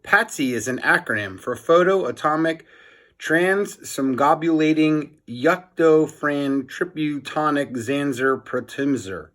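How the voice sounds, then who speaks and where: A man's voice: fairly high pitch, slow pace, a bit bright, pitched above the performer's own voice. A man voicing an earnest, boastful nerdy boy inventor who explains things grandly and emphatically in a children's storybook. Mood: proud explaining.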